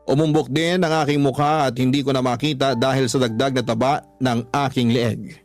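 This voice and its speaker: A man's voice: mid-range voice, fairly even intonation, moderate pace, faint music behind. A man narrating a personal story in the first person, in a scripted radio storytelling style. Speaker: storytelling host reading a listener's letter.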